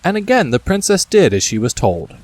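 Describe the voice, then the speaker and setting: A man narrating a classic children's story from a script, expressive and speaking directly to the listener. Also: on the high side, a little fast. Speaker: audiobook narrator.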